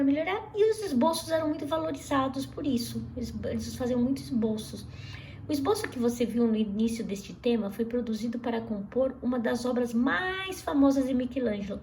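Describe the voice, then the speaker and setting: A woman teaching an art lesson to young pupils in a lively, chatty way, often asking them questions and restarting words. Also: high-pitched, a little hiss or hum. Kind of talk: recorded class lesson for children.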